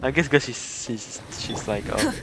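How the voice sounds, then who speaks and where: A man live-streaming a game, giving casual, halting, reactive commentary with a self-deprecating streak. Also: medium pitch, lively intonation, heavy background noise. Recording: game music behind the voice. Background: game music.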